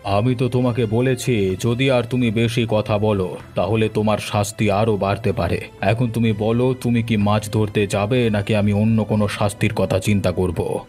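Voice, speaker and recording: A man playing a stern, commanding village gentleman who passes judgement in a cartoon. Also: fairly low pitch, steady tone, normal speed, soft background music, lightly acted. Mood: stern, threatening. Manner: stern, admonishing. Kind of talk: cartoon voice acting in Bengali.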